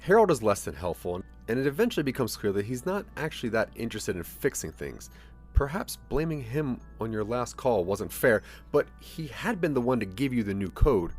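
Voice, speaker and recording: A man's voice: medium pitch, big pitch swings, a little fast, darkish tone, faint music behind. A man narrating a scripted audio drama in the second person.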